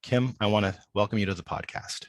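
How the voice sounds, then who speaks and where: A man's voice: lowish voice, brisk, a little hiss or hum. A man hosting a podcast, introducing and interviewing in a casual talk style. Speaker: podcast host.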